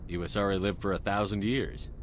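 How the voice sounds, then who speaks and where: A man's voice: fairly even intonation, fairly quick, natural voice. A man playing a direct, confident military commander in a video game, with a blunt, plain way of talking. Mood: inquiring. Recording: rumbling engine noise behind.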